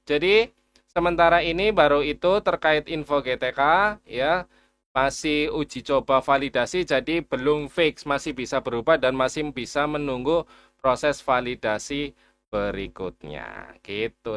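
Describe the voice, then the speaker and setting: A man's voice: medium pitch, moderate pace, fairly bright tone. A man giving a casual, reassuring screen-share tutorial and addressing viewers as friends. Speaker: education YouTuber explaining teacher data portal.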